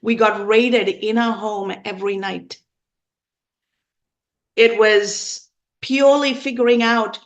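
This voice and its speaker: A woman in her fifties from Sri Lanka, telling her personal story as an interview guest in an earnest, emphatic, deliberate way. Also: a little high, dull audio. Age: 50s. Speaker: podcast guest.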